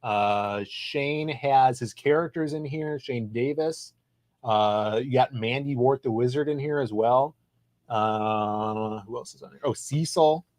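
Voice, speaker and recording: A man's voice: mid-range voice, slow pace, a little mellow, dry recording. Laid-back man reading stream chats. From Chicago area. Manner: laid-back, chatty, reads super chats aloud. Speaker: co-host of a comics livestream. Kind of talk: casual livestream chat.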